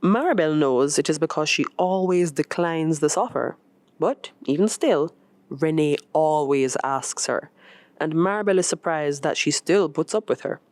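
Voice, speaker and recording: A woman reading a short story as scripted narration, in an expressive, measured storytelling manner. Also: deep voice, dry recording. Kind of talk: scripted narration of literary fiction.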